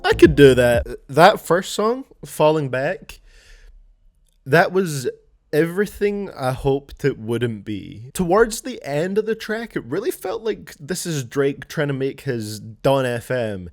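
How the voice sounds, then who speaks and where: Animated, sardonic man reacting to music. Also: on the high side, takes it slowly. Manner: sardonic, blunt, mildly profane. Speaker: music reviewer and host. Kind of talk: casual album reaction commentary.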